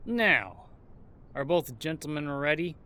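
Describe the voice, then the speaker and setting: An actor playing a cheeky mob referee in an audio drama, with a showy, flippant announcer's delivery. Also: male voice, a little high, sing-song, slow pace, bright, forceful tone, exaggerated voice. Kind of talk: audio roleplay drama acting.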